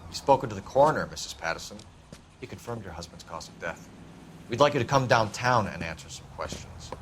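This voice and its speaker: A man's voice: on the low side, lively intonation, brisk, noisy background, natural voice. An American man playing an earnest, by-the-book 1940s police detective in a video game, formal and insistent. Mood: stern, probing. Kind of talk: dramatic video game acting.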